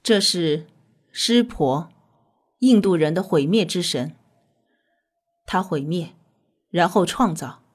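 A woman playing a calm, earnest scientist, explaining in a measured way in an audio drama. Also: on the low side. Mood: calm, reverent. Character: calm, earnest female scientist with mystical faith.

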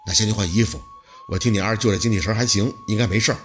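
A man voicing a calm young male partner in an audiobook, gentle, steady and reassuring. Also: on the low side, rapid-fire, faint music behind, pitched below the performer's own voice, lightly acted.

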